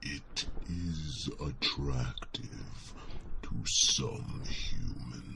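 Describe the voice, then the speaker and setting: A man voicing an ancient, wise, fondly teasing dragon in an ASMR fantasy roleplay, with a gravelly, breathy rumble and formal, drawn-out phrasing full of pensive hums. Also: exaggerated voice. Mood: musing, gently teasing. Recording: clear background noise, ambient sound.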